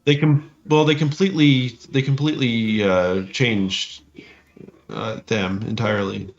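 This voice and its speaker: A man's voice: mid-range voice, steady tone, measured pace, vocal fry, highs cut off. A man co-hosting a podcast with casual reaction commentary, often stammering and restarting his phrases. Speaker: podcast co-host.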